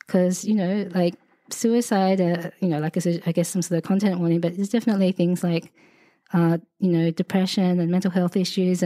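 An Australian woman in her forties talks through a relaxed interview in a mellow, low-ish voice, rambling and full of asides. The sound is dry and close, with no room echo. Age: 40s. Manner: chatty and rambling, many fillers and asides, laughs often. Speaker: podcast guest, sociologist. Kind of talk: casual conversational interview.

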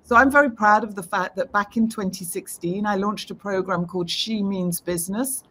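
A woman's voice: medium pitch, normal speed, bright, forceful tone. British woman, 50s, fluent upbeat executive guest, video call audio. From United Kingdom. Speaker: interview guest, tech executive. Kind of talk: remote interview answers. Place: home room, indoors.

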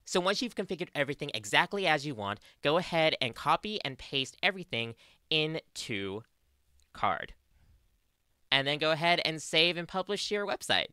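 A woman's voice: low-pitched, very animated, normal speed, bright, forceful tone, no echo. A young adult woman with an Australian accent presenting a scripted tutorial into a close studio mic. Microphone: close studio mic on boom arm.

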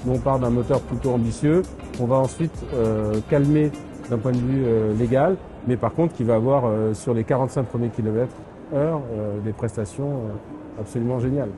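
A middle-aged man, a motorcycle company executive, answering interview questions with quick enthusiasm and many fillers. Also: medium pitch, rough recording. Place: dark exhibition stand, indoors. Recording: background music.

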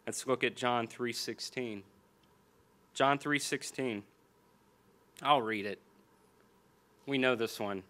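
Earnest American preacher at a lectern. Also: male voice, mid-range voice, sharp tone. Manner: earnest, slows for emphasis, repeats key phrases. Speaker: pastor. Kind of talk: sermon with scripture reading. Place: church hall stage at a lectern. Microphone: small stand mic on the lectern.

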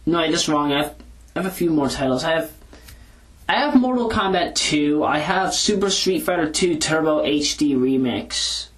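Hesitant, rambling audio blogger, mid-pitched. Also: expressive, normal speed. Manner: informal, hesitant, self-correcting while recalling lists. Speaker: video blogger. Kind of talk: casual rambling audio log. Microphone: handheld audio recorder moved back and forth.

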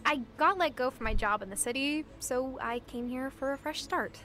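A woman's voice: high voice, normal speed, pushed and bright. A woman playing a friendly, upbeat, polite young newcomer in a cozy video game. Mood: cheerful. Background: game music.

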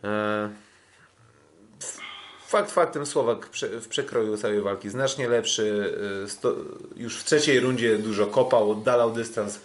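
A Polish man with a fairly low voice talks as a podcast co-host. He recaps fights casually, hesitating often, stretching his fillers and restarting phrases as he goes. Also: measured pace. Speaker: podcast co-host, kickboxing commentator.

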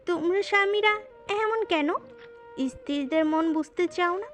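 A woman's voice: very high-pitched, a little slow, fairly bright tone. A woman playing a hot-tempered, possessive but secretly loving young wife in a romantic audio story, with a scolding, coquettish manner. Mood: pouting, demanding. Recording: music under the voice.

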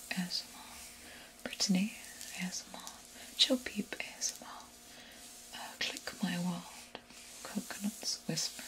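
A young woman speaks entirely in an unvoiced whisper, breathy and close. Her voice sits low for a woman, and she talks casually, like she's answering a chat. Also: low-quality recording. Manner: soft unvoiced whisper throughout. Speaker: ASMR creator reading live chat. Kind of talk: whispered live-stream chat.

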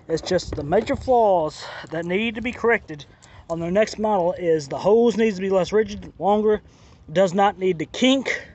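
A man with a fairly high voice gives a casual product review outside. He speaks plainly and keeps circling back to the same complaints. The phone audio is a little dull, with faint outdoor noise behind him. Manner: plainspoken, repeats his complaints. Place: outdoors by a driveway and lawn.

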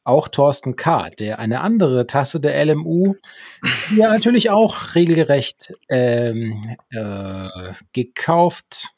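A man's voice: mid-range voice, big pitch swings, slow, a little mellow, no room sound. A man co-hosting a podcast conversationally, with wordy, mock-formal flourishes, close to the microphone. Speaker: podcast co-host. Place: small home room with bookshelves. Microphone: close desktop microphone with headphones.

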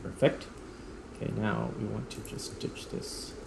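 A man's voice: lowish voice, little pitch movement, a little slow, gravelly, very noisy. A man doing casual gameplay commentary as a video host, thinking aloud and correcting himself as he goes.

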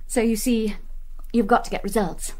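A woman's voice: fairly high pitch, darkish tone. An actress playing a spoiled, brazen young English society woman in a radio play, with a British accent and a cool, clipped, imperious manner.

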